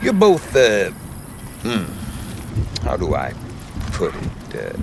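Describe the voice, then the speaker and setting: Deep-voiced, animated wry trader. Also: male voice, slow, very noisy, full character voice. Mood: affable, awkward. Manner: wry, hesitant, colloquial salesman patter. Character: folksy, chatty Oseram trader father, middle-aged, wry salesman. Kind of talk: video game character acting.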